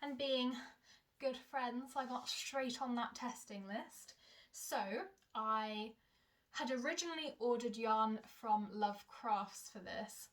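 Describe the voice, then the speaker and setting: A woman's voice: fairly high pitch, slow. A young woman vlogging about her knitting at home, chatting casually and rambling, often correcting herself mid-sentence. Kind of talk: casual chat to camera. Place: home in Germany.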